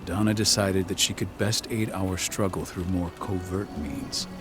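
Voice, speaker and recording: Stern, deep-voiced pack leader. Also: male voice, steady tone, measured pace, music in the background. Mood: proud, reassuring. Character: stern, proud male werewolf pack leader, weary veteran. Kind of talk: video game cutscene acting.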